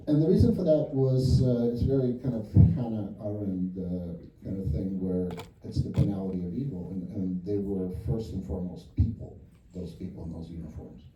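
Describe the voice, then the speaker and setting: A man with a low, dark, mellow voice talks through his ideas in a loose, rambling way, full of fillers and false starts. He is picked up from a distance in a reverberant cinema hall. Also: moderate pace, few pauses, slight background noise. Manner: rambling, thinking aloud with many fillers. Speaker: film director. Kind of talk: post-screening Q&A. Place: cinema auditorium. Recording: echoey theater from far off.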